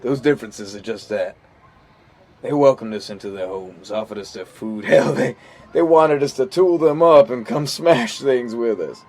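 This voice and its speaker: A man's voice: mid-range voice, a little mellow, close to an everyday voice. Man acting a plain-spoken war veteran. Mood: frank, wry. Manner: reflective, conversational, halting pauses, plain-spoken. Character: weathered American army veteran, once a hot-tempered youth, earnest. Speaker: actor in a fiction audio drama. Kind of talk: dramatic first-person monologue, audio drama.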